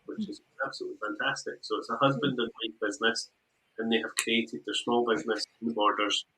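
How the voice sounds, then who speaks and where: Bright-voiced Scottish male host. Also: mid-range voice, steady tone, fast, a little hiss or hum, poor audio. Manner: chatty, teasing, jokey. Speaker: podcast host. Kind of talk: casual chat.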